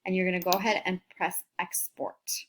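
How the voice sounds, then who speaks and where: A woman's voice: on the low side, flat delivery, normal speed, a bit bright, a little hiss or hum, low-quality recording. A woman career coach giving an upbeat, encouraging screen-recorded tutorial and talking students through each step. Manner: upbeat, encouraging, talks while clicking through steps.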